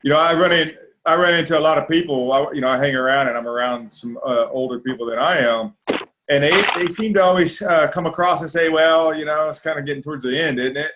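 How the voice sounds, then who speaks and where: A man's voice: fairly high pitch, quick pace, a bit bright, slight background noise, low-quality recording. A man hosting a casual panel talk, upbeat and encouraging toward his guests. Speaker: host.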